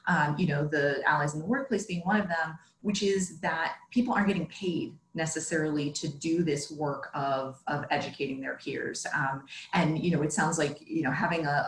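A woman moderating a panel conversationally over a roomy-sounding video call. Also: low voice. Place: indoor room, video call.

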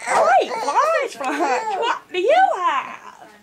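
A woman's voice: extremely high pitch, very animated, a crowd in the background, voice pushed up, full character voice. A woman voicing a curious, chirpy male frog in a children's story. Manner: chirpy, drawn-out greetings.

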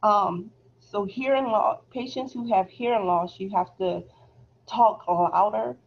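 A soft-spoken woman teaching a relaxed phlebotomy review lecture over a video call, chatty and laughing easily. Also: mid-range voice, slow pace, vocal fry, slight background noise. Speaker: phlebotomy instructor. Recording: slightly dull video call audio.

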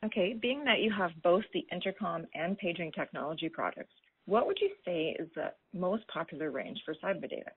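A woman's voice: fairly low pitch, expressive, fairly quick, husky. A woman interviewer putting prepared questions to a guest. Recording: narrow muffled phone line.

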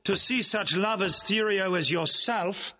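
An actor playing an ardent, witty young gentleman in love, speaking courtly, quick-witted Shakespearean verse. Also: sits high, highs cut off, slight background noise. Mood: earnest, courtly. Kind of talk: classical Shakespearean audio drama acting.

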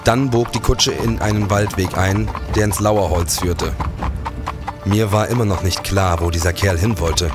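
A man's voice: low-pitched, measured pace, music in the background. A man playing a determined policeman who recounts and acts out his adventures in a period crime radio play. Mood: measured narration. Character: determined male policeman narrating his own old-time adventures. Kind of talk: scripted narration and dialogue, period audio drama.

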